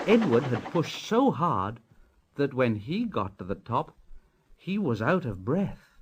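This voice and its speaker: A man's voice: mid-range voice, very animated, slow pace, noisy background. A man reading a scripted children's story as its narrator. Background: music.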